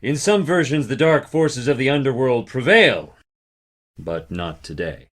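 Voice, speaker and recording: A man's voice: medium pitch, lively intonation, unhurried. A man voicing a grave stage storyteller playing a Greek god in a video game, narrating theatrically. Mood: portentous.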